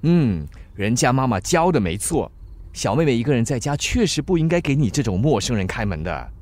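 A man plays a cynical young delivery guy in a radio drama. He is sarcastic, whiny and theatrical, and his pitch swings wildly as he teases and grumbles. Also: very animated, brisk, darkish tone, natural voice.

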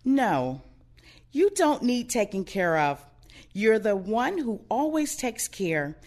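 A woman playing a blunt, sardonic, protective older woman in an audio play, outspoken and expressive. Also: a little high, takes it slowly. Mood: insistent, supportive.

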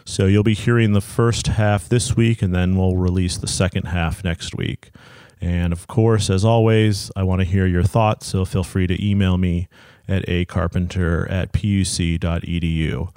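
A man hosting an interview podcast, talking in a measured, thoughtful way with frequent pauses and fillers. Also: deep voice, fairly even intonation, a little mellow, clear, resonant tone, no echo, studio quality. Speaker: podcast host.

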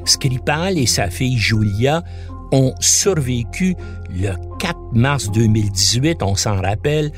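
A man's voice: mid-range voice, animated, slow pace, soft background music. A man narrating a scripted documentary as a podcast host, in a dramatic storytelling manner.

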